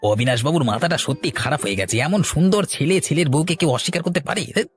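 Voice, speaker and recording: An actor playing a welcoming, supportive village neighbour in a cartoon, friendly and sympathetic. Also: male voice, fairly high pitch, fast, husky, soft background music. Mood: sympathetic, exasperated. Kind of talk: Bengali cartoon voice acting.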